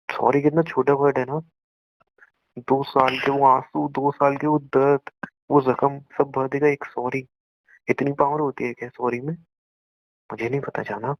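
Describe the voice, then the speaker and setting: A man's voice: mid-range voice, vocal fry, no echo. A young Indian man in an emotional phone conversation with an ex, hurt and close to tears, reproachful and repeating himself. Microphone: phone call. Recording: muffled lo-fi phone call recording.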